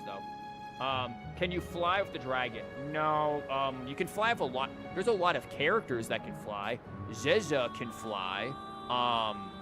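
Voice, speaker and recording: Male game streamer, casual and rambling, thinks out loud while explaining, over prominent game music. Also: medium pitch. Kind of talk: casual live gameplay commentary and explanation. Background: video game music and character lines.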